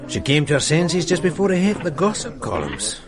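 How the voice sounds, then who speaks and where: An actor playing a calm, shrewd male police sergeant in a radio drama, explaining things in a measured way. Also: on the high side.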